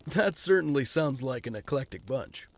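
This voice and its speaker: A man playing a wry, guarded rich gentleman in an audio drama, speaking in a dry, understated way. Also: mid-range voice, animated, normal speed, no echo.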